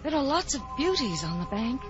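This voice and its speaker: Patient, preoccupied older sister, high, expressive pitch, vintage radio drama. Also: female voice, brisk, music in the background. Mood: distracted, placating. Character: distracted, patient older sister absorbed in reading. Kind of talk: 1940s radio acting. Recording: muffled old 1940s radio recording.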